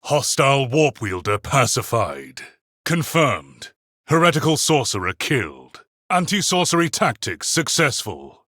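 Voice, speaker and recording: A man's voice: mid-range voice, bright, forceful tone, slightly rough, no room sound, studio quality, full character voice. A man voicing a stern, clinical space marine tactician in a video game, reporting in clipped, formal military phrases. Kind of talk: video game combat voice lines.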